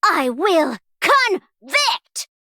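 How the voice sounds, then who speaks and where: A woman's voice: extremely high pitch, big pitch swings, extremely slow, sharp tone, exaggerated voice. A woman voicing a melodramatic, snobbish young art zealot in a video game, with theatrical, pompous delivery. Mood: indignant despair. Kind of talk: scripted game character voice lines.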